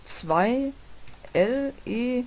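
A young woman with a fairly high voice talks through a game session with friends. She teases her teammates, laughs a lot and throws in casual swearing. Her talk is loose, everyday gaming chat. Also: expressive, drawn-out words, darkish tone. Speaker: Let's Play gamer.

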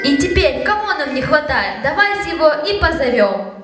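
A young woman with a high voice hosts a children's holiday stage show in scripted rhymes. She sounds through a very echoey hall, with clear music behind her. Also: sing-song, moderate pace. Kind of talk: scripted rhyming stage presentation. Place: indoor stage in a decorated hall. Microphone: handheld microphone.

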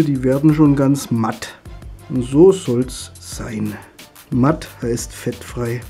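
A middle-aged man, stuffy and husky from a cold, chatting his way step by step through a hands-on hobby tutorial. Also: medium pitch, darkish tone. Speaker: model railway hobby video host.

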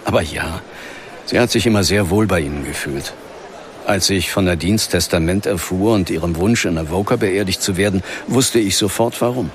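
An actor playing a somber, middle-aged ghost hunter who grieves and narrates his horror audio play in measured phrases. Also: low-pitched, slight background noise, natural voice. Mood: gentle. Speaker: lead actor and first-person narrator.

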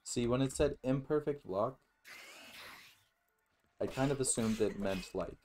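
A man gives casual commentary as he plays a video game. His voice sits a little low and he talks very slowly, haltingly thinking out loud to himself. Some noise and clicking sit behind him. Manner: halting, musing aloud to himself. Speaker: gaming video host.

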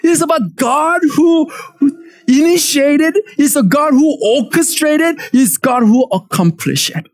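A man in his forties with a Korean accent, preaching a lively sermon from a church stage and calling for amens. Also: very high voice, a little slow, soft background music. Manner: animated, emphatic preaching with call-and-response. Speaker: pastor.

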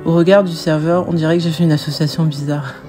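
A woman's voice: sits low, fairly even intonation, fast talker, mellow, soft background music. Young woman narrating a food review. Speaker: vlogger. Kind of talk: scripted voice-over review.

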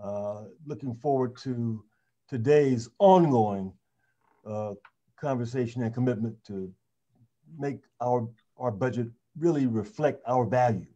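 Mellow-voiced man on a dull line. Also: medium pitch, measured pace. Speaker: city councilmember. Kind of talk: brief remarks at an online public meeting.

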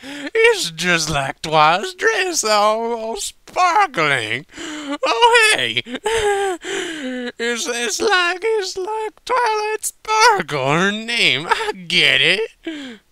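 A man's voice: very high voice, wildly swooping pitch, drawn-out words, few pauses, pushed and bright, no echo, voice pushed up, full character voice. A man voicing a drunk, simple female country pony in a cartoon fan story, slurring and stumbling over words. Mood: drunken delight.

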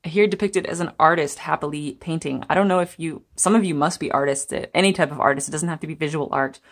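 A woman's voice: low voice, fast. A young woman giving a chatty, meandering tarot reading to viewers, laughing now and then as she talks. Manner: chatty, meandering, gentle, laughs while talking. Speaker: tarot reader. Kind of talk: pick-a-card tarot reading. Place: indoors at a table.

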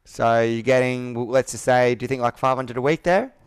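Clear-voiced man, earnest and persuasive. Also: medium pitch, fairly even intonation, fairly quick, no echo. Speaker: business coach. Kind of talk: sales call roleplay, personal storytelling. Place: indoor room with whiteboard, video call.

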